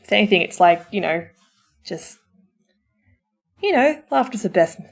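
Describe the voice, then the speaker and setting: A woman's voice: mid-range voice, lively intonation, unhurried, gravelly. A woman speaking as a supportive friend in a comforting roleplay, chatty and informal, with a theatrical, encouraging manner. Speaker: audio roleplay performer playing a supportive friend. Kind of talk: comforting roleplay, chatty pep talk to the listener.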